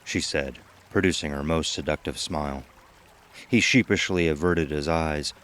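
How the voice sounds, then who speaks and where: Very deep male horror narrator. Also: normal speed, fairly bright tone, smooth. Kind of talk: scripted horror story narration.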